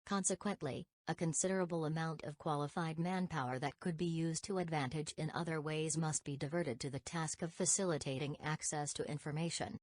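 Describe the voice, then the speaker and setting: A woman's voice: deep voice, fairly even intonation, fairly quick, hardly any pauses, a little mellow, no room sound. A woman reading formal practice passages aloud from a script for a language test.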